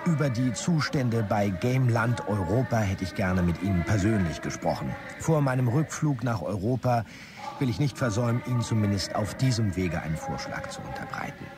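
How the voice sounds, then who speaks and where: A man's voice: on the low side, animated, normal speed, music in the background, natural voice. A man playing a formal, self-important businessman reading out letters in a radio drama, with a pompous manner. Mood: formal, scheming.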